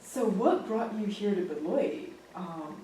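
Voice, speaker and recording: A young woman hosting a casual campus interview, chatty and quick to giggle, with many fillers. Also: mid-range voice, animated, crowd noise. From grew up in Japan. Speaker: host. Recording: echoey room, mic at a distance.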